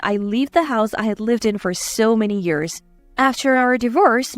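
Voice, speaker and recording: A woman reading a scripted first-person drama story in an expressive manner. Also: high voice, no echo. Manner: expressive, acting out dialogue. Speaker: story narrator.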